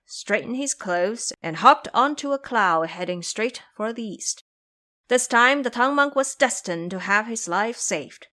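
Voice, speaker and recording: A woman's voice: mid-range voice, fairly bright tone. A woman narrating an audio drama as a scripted storyteller.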